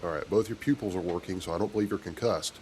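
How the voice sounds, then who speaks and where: Actor as a deep-voiced, steady starship captain. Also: male voice, slight background noise, natural voice. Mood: reassuring. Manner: measured, dry, authoritative. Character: steady, commanding male starship captain, dryly skeptical, protective. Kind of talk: video game character dialogue.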